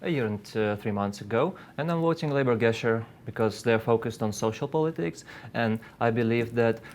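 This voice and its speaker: A man's voice: medium pitch, brisk, a little mellow, slight background noise. A man from Russia, answering plainly as a guest on a news panel. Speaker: studio guest. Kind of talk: casual interview chat.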